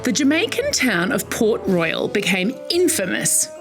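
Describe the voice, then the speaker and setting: A middle-aged woman with an Australian accent, hosting a scripted educational narration for kids in a bright, playful manner. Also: mid-range voice, a little slow, faint music behind. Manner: bright, playful, animated storyteller. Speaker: host.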